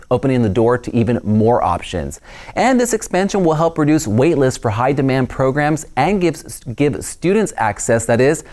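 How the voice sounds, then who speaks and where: A man's voice: medium pitch, darkish tone. A man hosting a school district news show, presenting scripted segments in an upbeat, friendly way.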